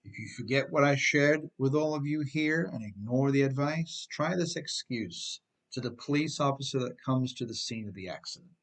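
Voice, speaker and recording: A man's voice: medium pitch, expressive, moderate pace, few pauses. A man reading scripted instructional narration as the author, addressing listeners directly and with emphasis. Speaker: author reading own advice book on driving.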